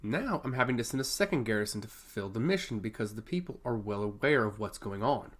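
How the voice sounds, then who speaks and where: A man's voice: fairly low pitch, lively intonation, fast, fairly bright tone. A man voicing a coldly pragmatic, dutiful commander in a fantasy audiobook, solemn, formal and deferential to his lord. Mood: measured frustration.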